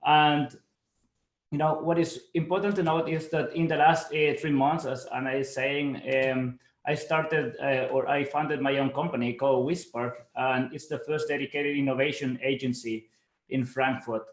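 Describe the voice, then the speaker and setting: A man's voice: mid-range voice, steady tone, moderate pace. Young man, from El Salvador, webinar presenter, filler-heavy casual talk, echoey room. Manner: casual, with many fillers like "like" and "you know". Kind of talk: online presentation. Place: home office, based in Germany.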